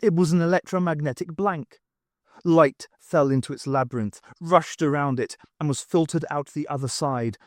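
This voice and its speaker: A man's voice: a little high, darkish tone, no room sound, studio quality. A man reading scripted dramatic narration for an audiobook.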